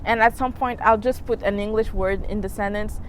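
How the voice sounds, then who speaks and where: A woman's voice: medium pitch, fairly even intonation, a little fast, slight background noise, poor audio. A young woman from Senegal whose first language is Wolof, chatting as a guest in a casual talk with lots of fillers and light laughs. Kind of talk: casual chat.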